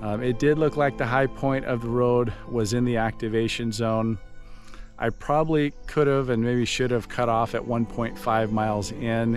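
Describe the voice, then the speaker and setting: A man narrating a casual outdoor hiking vlog as a radio hobbyist, in a matter-of-fact, low-key way. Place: outdoors on a forested hiking trail.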